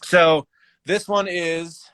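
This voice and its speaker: A man hosting a live raffle in casual livestream chat, haltingly thinking aloud as he handles each task. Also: on the high side, fairly even intonation, bright, forceful tone, clean tone, no room sound. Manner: halting, thinking aloud while doing tasks.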